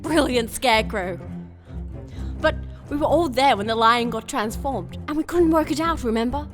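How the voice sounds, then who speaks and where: A woman's voice: high voice, moderate pace, soft background music, lightly acted. Woman acting an earnest young princess, reverberant. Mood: hopeful, worried. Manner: earnest, emotional. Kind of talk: audio drama acting with first-person narration.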